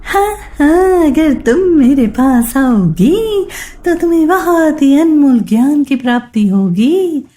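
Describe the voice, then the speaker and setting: A magical river spirit calls out in a very high, swooping voice, performed by a woman. The delivery is drawn-out and beckoning, made for a children's cartoon moral tale. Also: expressive, warm tone. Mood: alluring. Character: inviting magical river spirit promising priceless knowledge.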